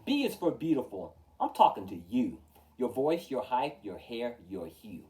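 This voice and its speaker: A man hosting a storytime, reading a rhyming children's book aloud in a theatrical, measured way, with emphatic openings and falling line endings. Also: medium pitch, big pitch swings, dark tone, recorded from a distance, rough recording. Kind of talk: expressive children's picture-book read-aloud in rhyme.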